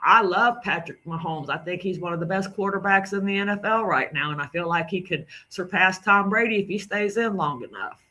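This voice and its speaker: A man's voice: high-pitched, a little fast, hardly any pauses, sharp tone, poor audio. A man from the Southern United States with a Southern drawl, rambling indignantly through casual commentary on clips and repeating himself. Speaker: commentary creator.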